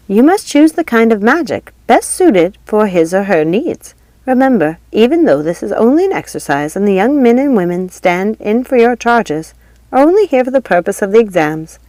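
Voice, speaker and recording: Woman playing a grand old fairy godmother, formal speechmaking, raised pitch, dry sound. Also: darkish tone, no echo. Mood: instructing. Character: stately elderly female fairy godmother headmistress.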